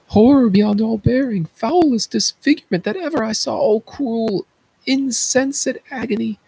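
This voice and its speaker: Man acting an anguished chorus elder, pitched high. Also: vocal fry, darkish tone, slightly rough, no room sound, exaggerated voice, poor audio. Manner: lamenting, declamatory. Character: anguished Greek chorus elder, pitying and horrified. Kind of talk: dramatic reading of Greek tragedy.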